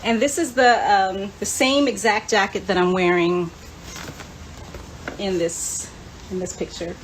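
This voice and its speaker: A woman's voice: mid-range voice, steady tone, unhurried, poor audio. A woman hosting a casual livestream chat in an enthusiastic, chatty way. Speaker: host. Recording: clear background room noise.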